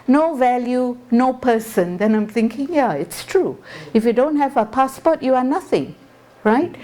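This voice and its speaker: A woman's voice: on the high side, darkish tone. A woman from Singapore with a Singaporean accent giving an explanatory, conversational public talk, earnest and fond of rhetorical questions. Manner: earnest, rhetorical questions, tag words like "right?". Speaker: guest speaker.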